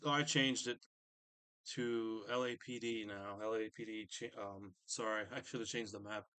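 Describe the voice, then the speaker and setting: A man's voice: on the low side, steady tone, moderate pace, sharp tone, clear, resonant tone, no echo. A man hosting a live stream in a casual, distracted, muttering way.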